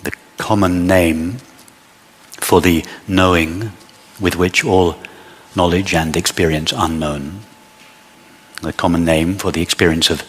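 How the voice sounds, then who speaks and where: A man leading a guided meditation as a teacher, speaking in measured short phrases with long pauses. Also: deep voice, fairly bright tone, slightly rough, big-room sound.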